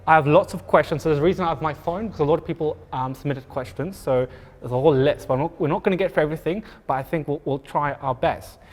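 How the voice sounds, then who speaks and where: Man, Afrikaans accent, moderator, halting with ums, echoing hall. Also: a little high. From Pretoria, South Africa. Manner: hesitant, many ums and restarts, apologetic. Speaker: panel moderator. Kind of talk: casual public panel talk.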